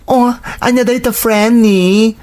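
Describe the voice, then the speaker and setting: A woman playing an excitable, supportive work friend in a radio drama, chatty and exclaiming, with an Ilocano Filipino accent. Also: on the high side, slow pace. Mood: chatty, curious.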